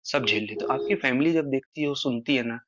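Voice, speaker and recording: Fast-talking man on a muffled phone call. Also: mid-range voice, a bit bright, dry recording. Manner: earnest, explaining at length, rising emphasis. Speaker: social media creator on a phone call. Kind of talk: casual phone conversation.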